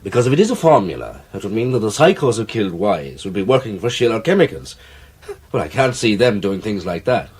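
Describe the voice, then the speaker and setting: Casting: level-headed British friend, radio thriller, fairly low husky voice, explanatory. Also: male voice, very animated, brisk, darkish tone. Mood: reasoning. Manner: matter-of-fact, explanatory. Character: calm, reassuring, reasoning male amateur investigator friend. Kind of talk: naturalistic British radio drama acting.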